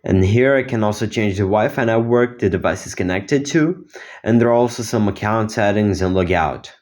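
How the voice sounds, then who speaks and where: Low-voiced teenage guy presenting. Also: normal speed, a little mellow. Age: teens. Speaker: student inventor presenting own project. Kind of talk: explanatory project demo.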